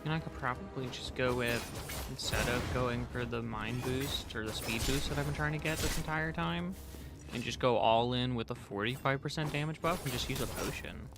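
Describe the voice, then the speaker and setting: A man's voice: mid-range voice, moderate pace, music in the background. A man livestreaming a game, chatting casually in a rambling, slangy way. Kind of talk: casual gaming stream chat. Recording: clearly noisy background with clicks.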